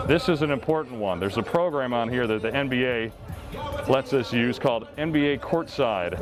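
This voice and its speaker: A man talking casually and explaining things step by step, like an announcer giving a behind-the-scenes tour. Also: mid-range voice. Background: background music.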